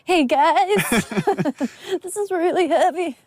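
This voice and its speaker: A woman speaking as an actress, recalling her work on set in a casual commentary, animated and often laughing. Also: very high-pitched, takes it slowly, no echo. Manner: giggly, animated storytelling.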